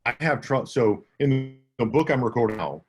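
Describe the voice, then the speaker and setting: A man's voice: mid-range voice, animated, moderate pace, soft background music. A man with a slight Southern accent, an audiobook narrator answering questions in a conference Q&A over a video call, talking casually and often restarting his sentences. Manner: conversational, with many restarts and self-corrections.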